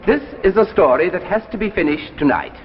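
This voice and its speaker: An actor playing an older, courteous and persuasive successful author in a 1950s radio drama, with a theatrical, gracious manner. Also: male voice, high-pitched, animated, fairly quick. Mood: persuasive, insistent. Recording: muffled, hissy old radio recording, reverberant.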